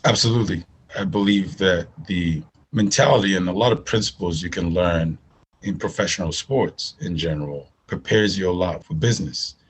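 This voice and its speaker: Nigerian guy, really low voice w some rasp, chatting as a podcast guest, hammers his points. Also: moderate pace, rough recording. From northern Nigeria. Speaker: podcast guest, agribusiness managing director. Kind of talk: conversational interview answers.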